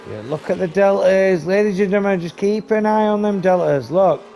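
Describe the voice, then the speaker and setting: A man giving live commentary on a sim race, talking to viewers and losing his voice, with race car engines loud behind him. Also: a little fast, vocal fry. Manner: excitable, talks to viewers, rising to high bursts on action. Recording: noisy stream with engine sound. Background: race car engine noise.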